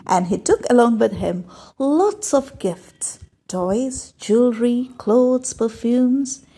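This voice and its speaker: A woman storyteller giving an expressive, scripted narration of a folktale. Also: a little high, slightly rough.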